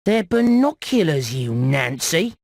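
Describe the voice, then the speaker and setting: Wildly swooping wheeler-dealer rat. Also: male voice, a little high, sing-song, slow, dry recording, studio quality, heavily acted. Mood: smug, cheerful. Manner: oily, theatrical patter. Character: wheeler-dealer male rat, cheeky, shifty salesman. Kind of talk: animated video game voice acting.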